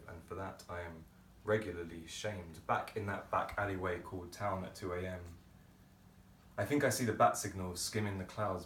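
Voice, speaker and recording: A man performs a dramatic spoken-word monologue, theatrical and deliberate, leaving pauses between phrases. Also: deep voice, fairly bright tone. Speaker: poet performer. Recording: roomy, distant microphone, background noise.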